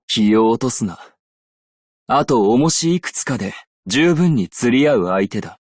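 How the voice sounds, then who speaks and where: A composed, worldly desert merchant for a video game. He speaks slowly and formally, with shrewd dignity and measured phrasing. The recording is dry and close, with no room sound. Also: mid-range voice, dry recording. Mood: solemn, cool. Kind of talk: Japanese game character voice lines.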